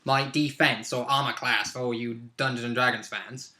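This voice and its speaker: A man's voice: medium pitch, fairly even intonation, moderate pace, sharp tone. A man talking casually as a player, looking back over a tabletop role-playing game in an easygoing chat. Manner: chuckles often while talking.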